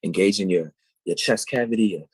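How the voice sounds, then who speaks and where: A man's voice: deep voice, a little fast, darkish tone, breathy edge, no echo, poor audio. A man, a singer answering interview questions and explaining vocal technique thoughtfully and at length. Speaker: guest singer.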